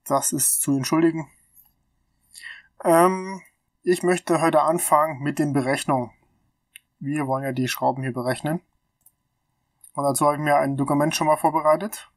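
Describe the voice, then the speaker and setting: A man's voice: a little high, fairly even intonation, normal speed, long pauses, no room sound. A man in his thirties with a stuffy cold, casually explaining technical calculations on his channel, thinking aloud with fillers, recorded on a laptop mic in a small room. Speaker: channel host. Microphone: laptop webcam mic.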